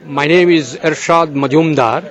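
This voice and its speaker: An older man from Bangladesh, an agricultural journalist, giving slow, earnest interview answers outdoors. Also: a little high, some chatter behind.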